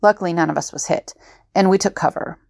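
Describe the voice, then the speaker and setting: A woman's voice: on the low side, fast talker, gravelly, a little mellow, no room sound, clean studio sound. A woman playing a matter-of-fact fugitive recounting an adventure in an audio drama.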